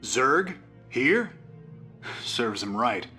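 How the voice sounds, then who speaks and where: A man's voice: medium pitch, sing-song, very slow, music in the background. An actor playing a brash, sarcastic male rebel captain in a video game, casual, wry and blunt. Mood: scornful.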